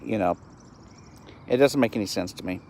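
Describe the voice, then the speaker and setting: A man's voice: on the low side, moderate pace, darkish tone. Frustrated man in his thirties. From East Texas, US. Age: 30s. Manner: earnest, frustrated, repeats and restarts words. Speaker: host of a live-stream show. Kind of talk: solo political commentary live stream.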